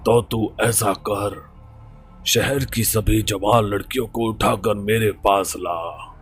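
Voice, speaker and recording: A wicked male sorcerer performed by a narrator in a horror cartoon, greedy and commanding. His husky voice is theatrical and gloating, drawn out slowly over faint music. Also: fairly low pitch, fairly even intonation, exaggerated voice. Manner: theatrical, boastful, gloating laughs.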